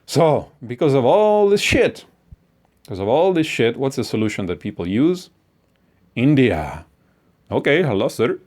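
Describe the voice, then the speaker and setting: A man's voice: medium pitch, big pitch swings, unhurried, a little mellow. A man giving a casual, rambling lecture as a course instructor, blunt and full of profanity.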